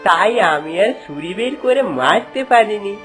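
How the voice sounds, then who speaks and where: A woman's voice: medium pitch, expressive, measured pace, fairly bright tone, faint music behind. A woman voicing a submissive, deferential palace guard in a cartoon. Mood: apologetic. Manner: deferential, explaining. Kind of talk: cartoon dialogue.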